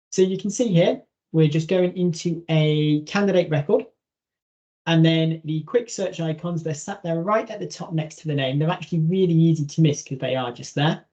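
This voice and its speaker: A man presenting a webinar, explaining a software feature step by step in an instructional style. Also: a little high. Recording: dull, lo-fi online audio.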